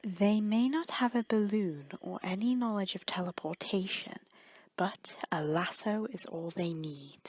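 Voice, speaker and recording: A woman's voice: medium pitch, unhurried, a little mellow, slight background noise. A woman reading scripted story narration as the narrator. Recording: music bed behind narration.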